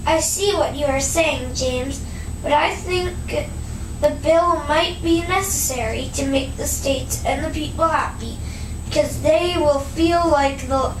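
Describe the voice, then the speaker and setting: A woman playing a measured, formal male convention president in a puppet show. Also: sits high. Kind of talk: scripted puppet show acting. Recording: distant roomy mic, clear background noise.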